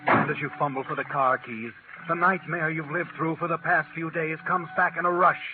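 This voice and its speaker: An actor playing an ominous narrator, delivering dramatic 1940s radio suspense narration. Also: male voice, on the high side, fairly even intonation, moderate pace, very noisy, close to an everyday voice. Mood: ominous, urgent. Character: ominous male narrator addressing the heroine. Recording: tinny 1940s radio recording, dramatic music.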